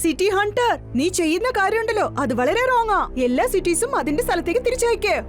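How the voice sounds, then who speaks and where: A woman's voice: extremely high pitch, lively intonation, fast. A woman voicing a confident, commanding young boy superhero in a children's cartoon. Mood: defiant. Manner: eager, commanding, earnest. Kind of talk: dubbed children's cartoon acting. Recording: music behind the voice.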